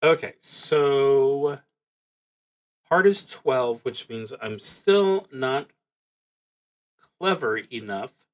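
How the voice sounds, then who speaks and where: A man with a mid-pitched voice streams a solo roleplaying game. He speaks slowly, thinking through his choices aloud. His delivery is halting and musing, often trailing off before he picks the thought back up. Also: expressive. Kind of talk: unhurried thinking aloud while playing a solo tabletop game.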